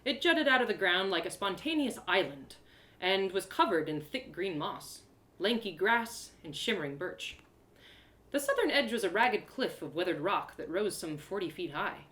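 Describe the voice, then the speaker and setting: A woman narrating a first-person adventure story in an expressive, theatrical way with dramatic pauses. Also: mid-range voice, reverberant. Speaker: storyteller performing own one-woman audio drama.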